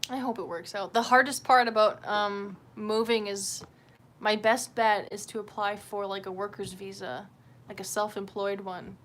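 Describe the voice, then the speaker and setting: A woman's voice: mid-range voice, fairly even intonation, normal speed, fairly bright tone. A woman chatting casually on a livestream, rambling in a candid, sweary and self-deprecating way. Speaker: livestream host.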